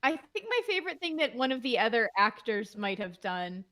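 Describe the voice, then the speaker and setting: A woman's voice: fairly high pitch, sing-song, fairly quick, fairly bright tone. A woman who writes the show, chatting casually in a Q&A with many fillers and restarts. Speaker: podcast writer. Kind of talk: casual Q&A chat.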